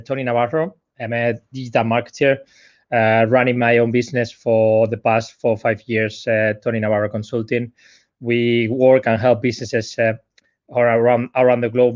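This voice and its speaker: A man's voice: medium pitch, muffled recording. A man with a Spanish accent, guest on a live stream, talking casually from his sofa at home, hesitant with many fillers. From Spain. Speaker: guest digital marketer.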